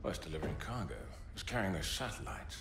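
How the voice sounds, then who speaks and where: An actor playing a matter-of-fact Imperial officer in a video game, informative and clipped. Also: male voice, low-pitched, animated, quick pace, creaky, echoey room. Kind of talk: video game cutscene acting.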